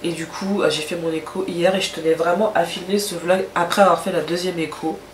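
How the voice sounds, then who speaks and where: A woman's voice: fairly low pitch, steady tone, fairly quick, roomy sound, slight background noise. A woman with a stuffy, nasal voice from a cold, chatting casually to camera in a rambling way with many hesitations. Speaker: vlogger.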